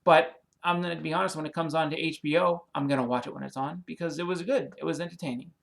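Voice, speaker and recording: Brief: Boston-accented man, a touch high-pitched, casual rambling vlogger, thanks subscribers, fillers galore. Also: fairly even intonation, quick pace, vocal fry. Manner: rambling, many ums and you-knows, restarts; friendly, apologetic. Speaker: comic and movie YouTuber.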